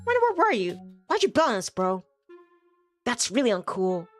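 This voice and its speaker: A woman's voice: fairly high pitch, wildly swooping pitch, moderate pace, faint music behind. A woman voicing a laid-back, teasing male student footballer in a visual novel, talking in slangy, ribbing banter.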